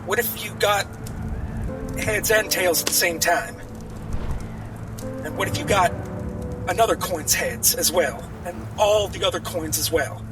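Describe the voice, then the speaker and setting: A man playing a tabletop game in character, talking in a folksy, halting way. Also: high voice, far from the mic, over music. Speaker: player.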